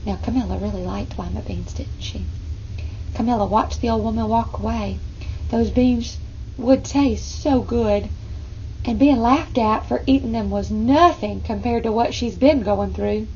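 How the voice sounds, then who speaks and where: High-voiced woman reading to children. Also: a little slow, darkish tone. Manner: engaging, asks listeners questions. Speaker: storybook reader for children. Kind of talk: expressive read-aloud with asides to young listeners. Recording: muffled, clearly noisy room recording.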